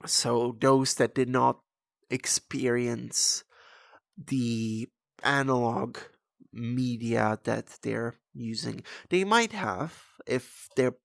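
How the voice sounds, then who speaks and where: Man, twenties, native Czech speaker. Unhurried, gravelly delivery; casual, halting video-essay rambling by the channel's host. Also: medium pitch, no echo, clean studio sound. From Czech Republic. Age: 20s.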